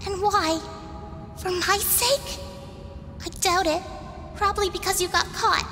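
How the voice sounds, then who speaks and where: A very high-pitched, taunting little schoolgirl. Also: steady tone, measured pace. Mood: scornful, hurt. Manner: mocking, sing-song childish name-calling. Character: taunting little schoolgirl, bratty and scornful, on a stage. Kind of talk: radio play acting. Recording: long hall reverb, music behind.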